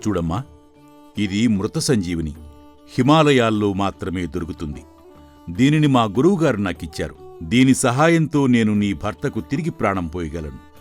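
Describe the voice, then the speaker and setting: A man playing a stern, knowing sage in an animated folktale, admonishing and explaining. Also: lowish voice, moderate pace, soft background music. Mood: solemn, commanding. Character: stern male sage, secretly a scheming magician in disguise.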